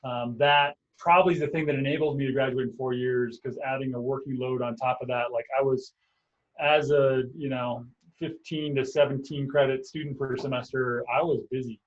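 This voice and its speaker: A man professor from Montana talks loosely over a video call, hesitating often. His pitch is middling. The audio is dull and lo-fi. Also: moderate pace. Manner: relaxed, hesitant, fillers. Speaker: engineering professor.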